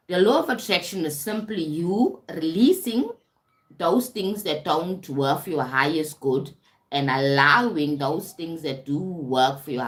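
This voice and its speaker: A woman hosting a casual self-development livestream, chatty, often stumbling over words and checking in with viewers. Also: low-pitched. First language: English. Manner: warm-toned chatty, calls viewers "sweetie pie", frequent "right?" and restarts. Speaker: livestream host.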